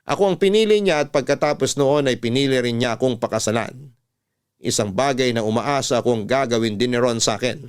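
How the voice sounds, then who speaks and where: A man's voice: medium pitch, smooth. A man with a Filipino accent delivering heartfelt, confessional scripted narration in the style of classic radio drama. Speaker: radio-style storytelling host.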